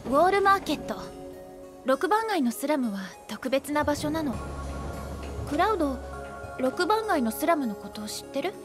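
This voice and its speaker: A woman's voice: high-pitched, very animated, moderate pace, pauses often. An actress voicing a cheerful, playful young woman in a Japanese video game, with a breezy, teasing way of talking. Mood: explaining. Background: background music.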